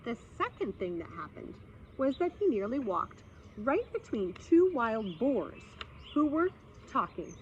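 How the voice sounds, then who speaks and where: A woman reading a story aloud expressively for children, pausing to explain and speak to her young listeners. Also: fairly high pitch, big pitch swings. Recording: slightly dull sound with faint background noise.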